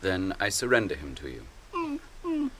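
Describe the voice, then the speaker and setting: A stern, commanding captain. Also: male voice, low-pitched, huge pitch swings, sharp tone, slight background noise, exaggerated voice. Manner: grave, measured and authoritative. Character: stern noble male captain of men, grave and commanding. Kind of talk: radio drama acting.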